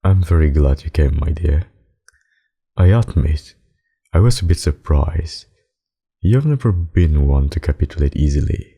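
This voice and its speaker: A man voicing a suave, possessive, menacing vampire in an ASMR roleplay, with formal, courtly, seductive speech and a gravelly, husky voice. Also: extremely low pitch, animated, measured pace, pauses often, mellow, heavily acted. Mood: courtly, insistent. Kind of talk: ASMR roleplay, dramatic monologue.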